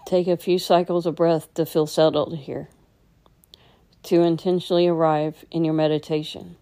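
A woman guides a meditation in a low, dark and relaxed voice. Her delivery stays very level and unhurried, with gentle instructions given step by step. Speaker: meditation teacher.

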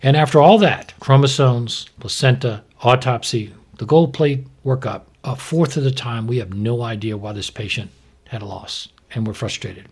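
A middle-aged American man, a doctor, explaining medical matters informally in a frank, measured way. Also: medium pitch, husky. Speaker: maternal fetal medicine doctor, podcast expert.

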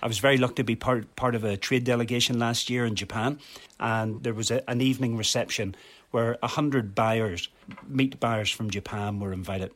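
A man's voice: medium pitch, fairly even intonation, moderate pace, a bit bright. A man speaking as an industry guest in a podcast interview, earnest and emphatic, restarting and repeating words as he goes. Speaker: red meat industry guest.

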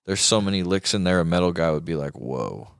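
Male talk show host, low-pitched, dry sound, informal and slangy guitar chat with emphasis. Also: expressive, brisk, creaky, clean tone, dry recording.

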